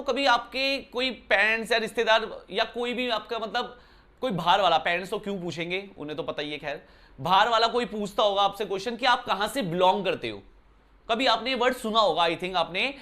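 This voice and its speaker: A man from Uttarakhand teaching a maths lesson indoors in a chatty style, often checking with his students whether it is clear. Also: high voice, sharp tone. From Uttarakhand, India. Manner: conversational, keeps asking "clear, brother?". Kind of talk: classroom lesson explanation. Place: indoor room.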